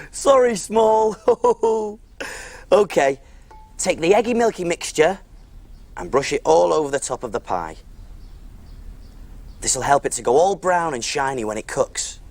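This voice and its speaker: A man's voice: on the high side, expressive, measured pace, long pauses, darkish tone. A man playing a friendly big cook who presents simple step-by-step cooking on a preschool show, in an encouraging way. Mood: playful, instructive. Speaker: children's cookery presenter.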